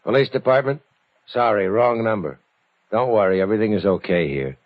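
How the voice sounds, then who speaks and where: An actor plays a cold, calm male killer in a vintage radio thriller. His low voice is matter-of-fact and falsely reassuring. It sounds tinny, like an old phone line. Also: a little fast, natural voice. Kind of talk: old-time radio suspense acting.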